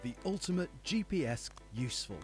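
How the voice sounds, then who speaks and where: A man's voice: a little high, animated, brisk. Male promo narrator over music. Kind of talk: scripted advertising narration. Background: music under the voice.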